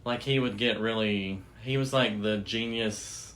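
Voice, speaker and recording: A man's voice: lowish voice, steady tone, a little slow, fairly bright tone. A man hosting a podcast, telling a story in a casual, informal and loosely phrased way. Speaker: podcast host.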